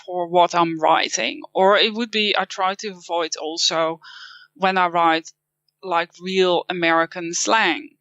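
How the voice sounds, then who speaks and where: A woman's voice: on the low side, steady tone, a little slow, a bit bright, no echo, muffled recording. A woman with a Dutch accent, a non-native English speaker, chatting thoughtfully as an interview guest. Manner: thoughtful, with frequent restarts and light laughs. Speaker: guest, copywriting teacher. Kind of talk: casual interview chat.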